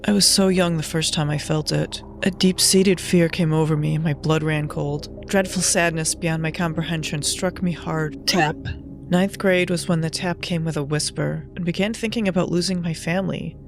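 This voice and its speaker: A woman's voice: low-pitched, fairly even intonation, normal speed, gravelly. A woman playing an uneasy young storyteller in a spooky audio drama. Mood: haunted, dread-filled. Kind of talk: audio horror anthology acting. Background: loud music.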